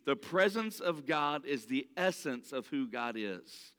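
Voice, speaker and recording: A man's voice: mid-range voice, lively intonation, measured pace, a bit bright, clean tone. A man preaching a sermon in an earnest way, often restarting his sentences, for whom English is not his first language. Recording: long hall reverb.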